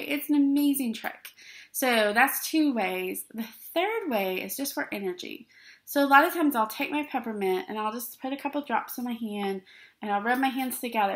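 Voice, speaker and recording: A woman's voice: on the high side, a bit bright. A middle-aged woman vlogging to camera from a small dim room, chatty and bubbly, often checking in with "okay?". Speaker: essential oils vlogger. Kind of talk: casual talk to camera.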